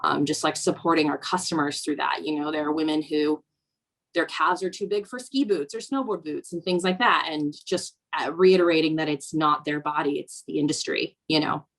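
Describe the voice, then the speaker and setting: Casting: young woman, low voice with a gravelly edge, thoughtful, filler-heavy. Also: fairly even intonation, moderate pace, fairly bright tone. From Virginia, USA. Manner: reflective, with many fillers like "um" and "you know". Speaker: snowboarder interviewee. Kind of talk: documentary interview.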